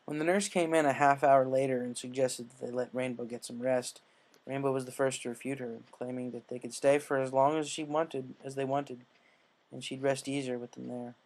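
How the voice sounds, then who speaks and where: A man's voice: mid-range voice, steady tone, fairly quick, darkish tone. A man reading scripted fan fiction aloud as the narrator. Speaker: story narrator.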